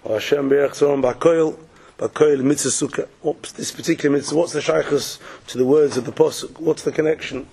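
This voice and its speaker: A man with a head cold teaches a Torah lesson in a husky, croaky voice that sits a little high for a man. He works through his points by asking questions and answering them himself. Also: fairly even intonation, fairly quick, mellow. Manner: explains step by step, asks and answers questions. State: has a cold. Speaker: rabbi giving a morning Torah talk. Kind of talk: Torah lecture.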